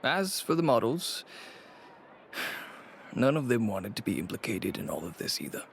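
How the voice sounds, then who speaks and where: A man playing a world-weary, cautious middle-aged businessman and father in a video game, measured and resigned in manner. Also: medium pitch, sing-song, gravelly, slight background noise. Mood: conciliatory.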